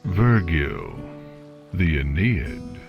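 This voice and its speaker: A man acting as a solemn epic reciter speaks extremely slowly in a low, gravelly voice. The grand delivery rises and falls dramatically, muffled under prominent music. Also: wildly swooping pitch, full character voice. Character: solemn epigraph reciter of ancient epic verse. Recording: dull lo-fi sound under loud music.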